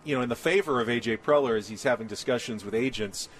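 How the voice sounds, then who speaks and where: A man's voice: medium pitch, quick pace, a little hiss or hum. Male sports radio host, mid-range voice, chatty and conversational, rolls through long thoughts. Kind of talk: casual sports talk radio. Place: outdoor patio at a ballpark complex.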